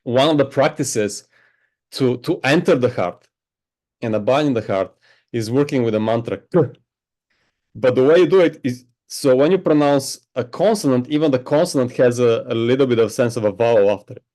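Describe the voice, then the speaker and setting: A man speaks at a mid-range pitch in an interview. He sounds like a meditation teacher, explaining things slowly and thoughtfully, and he keeps adding "right?" to check that he is understood. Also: mellow. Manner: slow, reflective, explaining with many "right?". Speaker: spiritual teacher. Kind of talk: interview answers. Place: home on a Hawaiian island.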